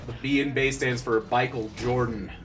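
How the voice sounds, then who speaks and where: A man's voice: medium pitch, fairly even intonation, normal speed. A man making casual, wry game commentary and chatting in short interjections.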